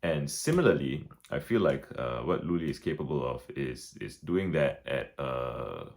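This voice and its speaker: A man talking through his thoughts in a casual reflective vlog, with a deep relaxed voice, many restarts and fillers. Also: normal speed, gravelly. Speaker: vlogger.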